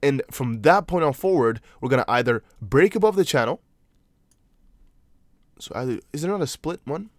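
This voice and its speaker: A man's voice: mid-range voice, lively intonation, moderate pace, pauses often, dry recording, studio quality. Young Dutch man streaming chart talk. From Netherlands. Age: young adult. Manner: loose and chatty, reads chat aloud, thinks out loud with many fillers. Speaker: crypto livestream host. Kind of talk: casual livestream chart analysis.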